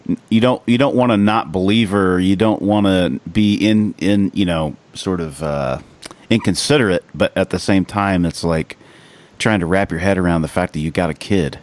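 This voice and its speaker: A man's voice: deep voice, moderate pace. A man co-hosting a podcast, chatting casually with blunt, slangy, sweary and sympathetic reactions. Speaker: podcast co-host.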